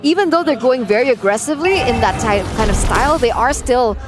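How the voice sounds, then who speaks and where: A woman's voice: a little high, lively intonation, fairly quick, over music. A woman commentating on a live esports game in a conversational, analytical way, often checking with a tag question. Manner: conversational, often ends with "right?".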